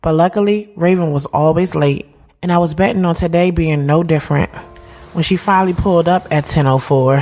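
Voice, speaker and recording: A woman's voice: lowish voice, a little fast, a little mellow, faint music behind, lightly acted. A woman playing a sassy, candid thirty-year-old who tells her own story in slangy, conversational narration. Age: 30s. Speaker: narrator and lead of an audio drama series. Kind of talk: first-person storytelling narration, conversational urban drama.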